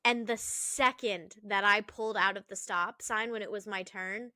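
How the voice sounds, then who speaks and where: A young woman chatting on her podcast, telling stories with a casual swear here and there and quick laughs. Her voice is bright and forceful. It is recorded close and dry, with no room sound. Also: medium pitch, steady tone, no echo. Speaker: podcast co-host. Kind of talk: casual chat.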